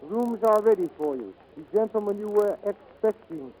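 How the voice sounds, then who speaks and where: High-voiced, guarded hotel proprietor. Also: male voice, animated, normal speed, mellow. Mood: smooth, guarded. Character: polite, guarded hotel proprietor in New Guinea. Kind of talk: 1940s radio drama acting. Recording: noisy, muffled 1940s radio recording.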